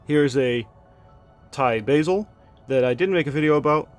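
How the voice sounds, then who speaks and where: Relaxed man touring his garden. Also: fairly high pitch, normal speed, soft background music. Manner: relaxed, enthusiastic, chatty with fillers. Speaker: gardening channel host. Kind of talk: casual garden tour narration. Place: outdoors in a home garden.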